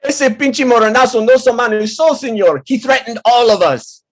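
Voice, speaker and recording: Man acting husky, feisty little shopkeeper. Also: extremely high pitch, moderate pace. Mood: angry, defiant. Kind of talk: script table read, dramatic dialogue. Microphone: home microphone on a video call.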